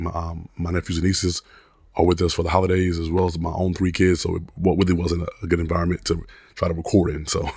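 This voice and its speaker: Man, hoarse, low gravelly voice, rambling product review, dry close sound. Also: no room sound. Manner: rambling, many restarts and fillers. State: hoarse, recovering from a cold. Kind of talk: casual product review vlog. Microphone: desk condenser USB mic.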